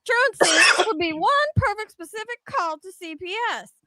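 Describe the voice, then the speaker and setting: A woman's voice: extremely high pitch, normal speed, pushed and bright, no room sound, studio quality. A woman co-host giving playful, sweary dramatic readings of online comments, with banter in between.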